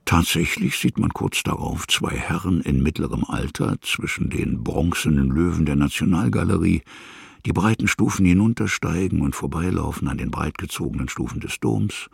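An extremely low-voiced man narrates a literary story. His voice is heavily creaky and very husky, and he moves in measured short phrases with pauses. The sound is dry and close. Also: no room sound. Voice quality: gravelly, husky.

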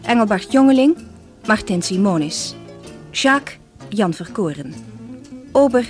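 A woman announcer reading radio drama credits in a formal, deliberate, list-like manner. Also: medium pitch, lively intonation, a little mellow. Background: music.

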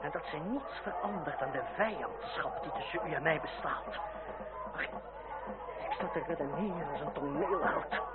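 Actor as a high-voiced, bitter young revolutionary, tinny recording. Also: male voice, moderate pace, loud music behind the voice, a loud crowd, natural voice. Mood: cold scorn. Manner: formal, sardonic, declamatory. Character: proud, bitter young revolutionary with a cutting tongue. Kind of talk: period radio drama acting. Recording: tinny, very noisy old radio play recording.

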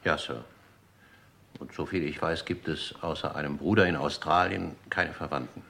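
An actor playing a gaunt, older English manservant in a radio crime drama, formal, precise and deferential. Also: male voice, rumbling low, moderate pace, gravelly, fairly bright tone, heavily acted. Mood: dutiful. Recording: old radio recording, noisy background.

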